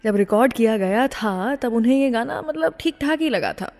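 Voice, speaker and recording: A woman's voice: fairly high pitch, lively intonation, moderate pace, darkish tone. Woman radio host in long reverb. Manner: mixes Hindi with English phrases. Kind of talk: presenting a classic film music radio show.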